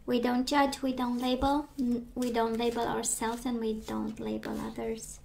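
A woman giving a casual tarot reading, chatty and reassuring, her voice strained as she loses it and clears her throat. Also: on the high side, flat delivery, normal speed, darkish tone, slight background noise. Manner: chatty, reassuring, many fillers and restarts. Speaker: tarot reader. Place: indoors at a table of tarot decks.

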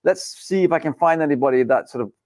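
A man's voice: on the high side, fast talker, a little mellow, dry recording. A middle-aged man hosting a live studio interview show, upbeat, chatty and joking with his guest. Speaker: podcast host. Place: studio set with dark backdrop.

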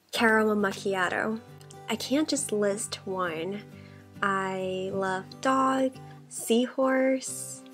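A woman in her twenties from Taiwan, whose first language is Mandarin Chinese, answering questions about herself in a soft-spoken vlog. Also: medium pitch. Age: 20s. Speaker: vlogger. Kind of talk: get-to-know-me Q&A vlog. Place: bedroom with shelves, indoors. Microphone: desk condenser mic in front.

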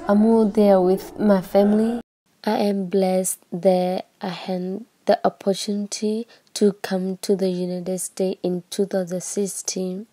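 A teenage girl from Burma reads her personal story as a high school student, in careful, simple phrasing. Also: flat delivery, unhurried, mellow. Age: late teens.

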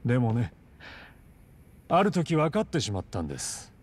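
A man acting a composed crime boss in a Japanese game dub, courteous and formal in measured, condescending phrases. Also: medium pitch, huge pitch swings, darkish tone. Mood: reflective, disdainful.

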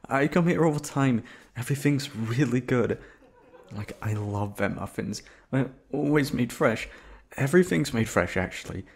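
A man's voice: medium pitch, gravelly, roomy sound. A man playing a shy, flustered young man on a first date in an ASMR roleplay, rambling and stammering nervously. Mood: awkward, rambling. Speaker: voice actor.

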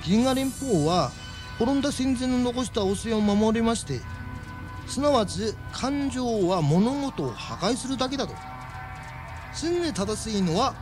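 High-pitched, folksy alien explainer. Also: male voice, very animated, measured pace, music in the background, heavily acted. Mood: explanatory, chatty. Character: folksy, explaining alien man from a galactic federation. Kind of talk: Japanese radio drama acting.

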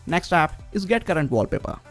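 A man with a high-pitched voice presents a scripted tech review. His narration runs over faint background music. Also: big pitch swings, fairly quick.

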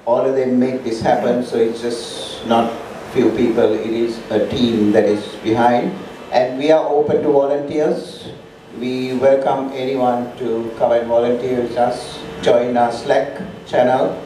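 A man's voice: medium pitch, steady tone, a little slow, warm tone, slight background noise. A man hosting a startup meetup and making announcements, with a rambling manner and frequent restarts. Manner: rambling, restarts often, enthusiastic. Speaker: meetup organizer and host. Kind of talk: live event announcements. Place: large dark event hall, at a lectern. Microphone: handheld mic through PA, filmed from far. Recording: echoey hall, distant camera.

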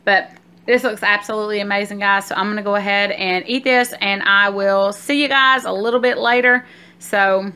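A woman's voice: mid-range voice, fairly even intonation, normal speed, sharp tone, smooth. A woman, chatting casually to viewers like a vlogger, recorded on a phone. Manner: chatty, informal, addresses viewers as guys. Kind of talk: casual vlog chat. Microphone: phone at arm's length. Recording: noisy amateur phone video.

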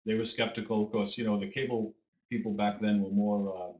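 Fiftyish Boston man, lowish voice, rambling guest, roomy muffled webcam audio. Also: flat delivery, brisk. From Boston area. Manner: rambling with fillers and restarts. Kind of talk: interview answers. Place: home room. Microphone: video call webcam.

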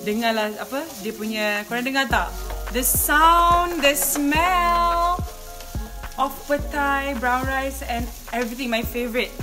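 A bubbly young woman with a Malaysian accent chats and giggles through a home cooking vlog, her voice bright and high. She sits a few feet back from the camera, so the kitchen sounds roomy, with music under her. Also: steady tone, unhurried, distant mic. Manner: bubbly, giggly, gushing, code-switching. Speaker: vlogger host. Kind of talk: casual cooking vlog chat. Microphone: camera mic from a few feet away.

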